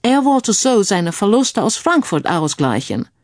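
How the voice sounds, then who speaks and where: A woman voicing a suave, wry British gentleman posing as an earl in an audio crime story, sprinkling English phrases into speech. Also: medium pitch, sing-song, unhurried, no echo, studio quality. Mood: wry, explaining.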